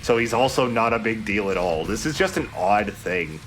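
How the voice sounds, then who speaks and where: A man's voice: fairly low pitch, expressive, normal speed, fairly bright tone. A man giving casual, explanatory game commentary as a let's play host. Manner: explanatory, conversational. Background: game music.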